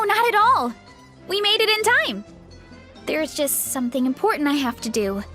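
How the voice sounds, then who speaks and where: A high-pitched young woman athlete from a video game. She is easygoing yet eager and hearty, with very lively pitch movement. Soft game music sits behind her. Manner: laid-back, breezy, eager.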